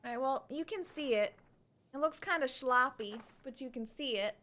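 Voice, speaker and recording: A woman's voice: high-pitched, lively intonation, normal speed, pushed and bright. A woman livestreaming a game, chatting casually and playfully with her viewers. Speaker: live streamer. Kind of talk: casual livestream chat over gameplay.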